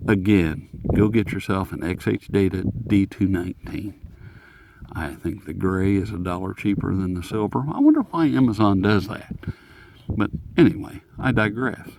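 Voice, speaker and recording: A man's voice: low-pitched, moderate pace, creaky, background noise. A man chatting casually through a hands-on gadget review in a rambling, folksy, self-mocking way, as a hobbyist reviewer.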